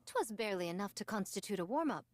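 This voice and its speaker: A woman's voice: medium pitch, animated, fast, no echo, natural voice. A woman playing a proud warrior android who speaks formally and archaically in an anime-style game. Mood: disdainful. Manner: formal, archaic, stately diction. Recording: music behind the voice.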